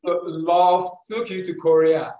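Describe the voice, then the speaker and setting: A man's voice: high voice, little pitch movement, measured pace, reverberant, dull audio, faint music behind. A Nigerian man hosting an interview in casual chat, with many hesitant fillers and restarts. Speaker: host.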